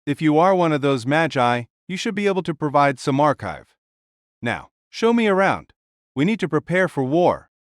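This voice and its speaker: Man acting an imperious, animated giant warrior king. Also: moderate pace, no room sound, clean studio sound. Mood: impatient, commanding. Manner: imperious, booming, declarative. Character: huge, commanding warrior king spirit, bold and unflustered.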